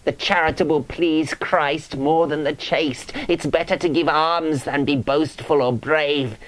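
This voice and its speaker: A man's voice: a little high, fairly even intonation, measured pace, pitched above the performer's own voice, close to an everyday voice. A man voicing a grisly, woeful ghost who solemnly prophesies doom in a dramatic reading of medieval romance verse. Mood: exhorting, mournful. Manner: solemn, incantatory, moaning, preaching.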